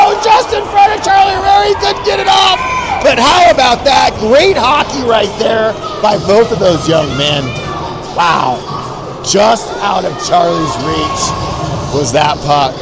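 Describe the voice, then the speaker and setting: A man doing play-by-play for a youth hockey game, folksy and excitable, shouting on the big plays. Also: high-pitched. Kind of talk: amateur play-by-play commentary. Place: rink arena, up in the stands. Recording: loud arena crowd and noise. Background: loud crowd and rink noise.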